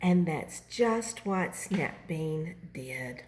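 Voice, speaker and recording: A woman's voice: low-pitched, expressive, extremely slow, a little mellow. An older woman, a grandmother, expressively reading a children's story aloud.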